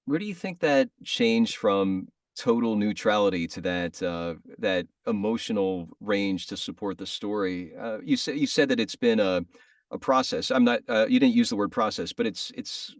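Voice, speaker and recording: A male podcast host whose lively pitch moves a great deal, with a gravelly edge to his voice. He asks friendly, open questions. His mic sits a little far off in a dry room. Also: normal speed, dry recording. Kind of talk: interview hosting.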